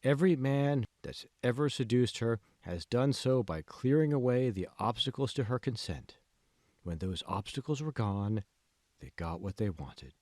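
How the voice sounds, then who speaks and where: A man playing a calm, manipulative male mentor in an audiobook, speaking slowly and deliberately with hushed, condescending questions. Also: medium pitch, no room sound, clean studio sound, close to an everyday voice. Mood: teasing, controlled. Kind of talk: dramatic audiobook dialogue.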